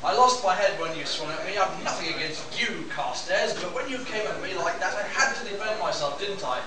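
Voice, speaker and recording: A man's voice: high-pitched, expressive, fairly quick. A man playing a hot-tempered, indignant bruiser of a pilot in an amateur radio play. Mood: defensive. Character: hot-tempered big bruiser pilot, defensive, passionate about his flowers. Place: live stage performance at a convention. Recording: distant echoey room, noisy live recording.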